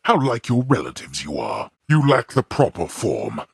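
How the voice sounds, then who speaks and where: A man playing a stern, imperious god of the underworld and disapproving father in a video game, with haughty, formal, deliberate delivery and a gravelly, husky voice. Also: mid-range voice, huge pitch swings, no room sound, clean studio sound, heavily acted. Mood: scornful, exasperated. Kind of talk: theatrical video game voice acting.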